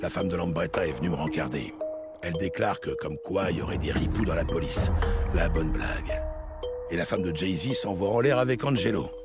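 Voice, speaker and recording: A man playing a hard-boiled, cynical New York police lieutenant in a French audio crime drama, gruff, curt and sardonic. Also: deep voice, a little fast, over loud music, lightly acted. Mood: sardonic narration. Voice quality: gravelly. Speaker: voice actor in an audio series.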